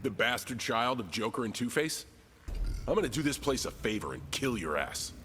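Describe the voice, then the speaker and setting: An actor playing a brash, foul-mouthed, sarcastic brawler in a video game, blunt and mocking. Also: male voice, mid-range voice, very animated, a bit bright. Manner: mocking, blunt, rapid-fire. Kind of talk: video game character banter. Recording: long reverb, faint background noise.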